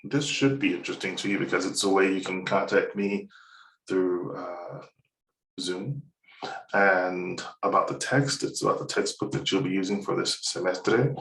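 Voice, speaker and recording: Casting note: low, gravelly male instructor with a relaxed, rambling delivery, recorded in an echoey room. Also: moderate pace. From United States. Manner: relaxed, rambling, many uhs, chuckles at own jokes. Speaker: Spanish instructor. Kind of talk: screen-recorded course walkthrough. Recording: roomy, slightly distant mic.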